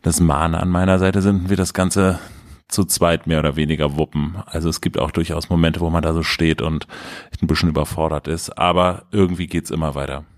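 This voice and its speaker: A man in his thirties with a cold, co-hosting a podcast in a relaxed, rambling way. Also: low voice, fairly quick, no echo, clean studio sound. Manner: relaxed, rambling with many fillers. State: has a cold. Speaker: podcast co-host. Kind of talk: casual podcast chat.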